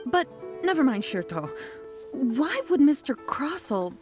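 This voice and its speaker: A woman voicing an earnest, modest young gem expert in a video game, explaining in a measured way. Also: high voice, big pitch swings, a little mellow, faint music behind. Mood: puzzled.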